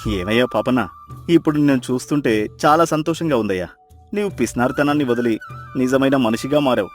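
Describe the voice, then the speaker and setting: A man's voice: medium pitch, brisk, faint music behind, voice pushed down, lightly acted. Man acting a kindly, folksy village neighbour. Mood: pleased. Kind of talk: Telugu moral story character acting.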